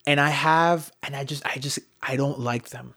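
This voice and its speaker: A man's voice: medium pitch, steady tone, normal speed, bright, forceful tone. Blunt, joking man in casual banter. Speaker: co-host of a talk show.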